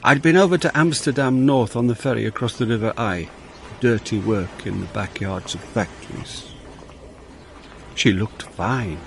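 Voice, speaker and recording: A man's voice: medium pitch, moderate pace, gravelly, a little hiss or hum, natural voice. An actor playing a wry, world-weary police inspector who narrates his own story in a radio drama. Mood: reflective. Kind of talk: radio drama acting with first-person narration.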